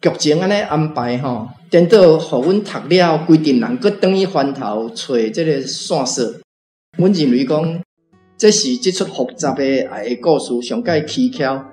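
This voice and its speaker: A man's voice: on the high side, lively intonation, normal speed, darkish tone. A man with a Taiwanese accent giving spoken commentary as a reading club guest, explaining with many sentence particles. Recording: roomy echo with faint music.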